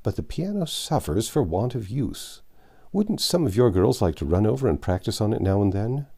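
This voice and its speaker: A man voicing a gruff but kindly old rich gentleman in a read-aloud story, brusque and fatherly. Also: fairly low pitch, sing-song, normal speed, exaggerated voice.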